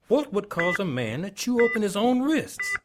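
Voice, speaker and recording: An actress playing a brusque, skeptical police lieutenant in an audio drama, blunt and sardonic. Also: female voice, mid-range voice, very animated, normal speed, close to an everyday voice. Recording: clearly noisy background.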